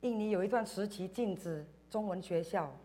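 A woman from Indonesia giving a personal church testimony in short phrases, pausing for an interpreter. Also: medium pitch, dark tone. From Indonesia, schooled in Singapore. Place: church service, speaking to congregation.

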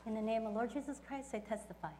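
A woman working as a church interpreter, relaying a testimony phrase by phrase in a halting delivery. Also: on the high side, dark tone. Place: church service.

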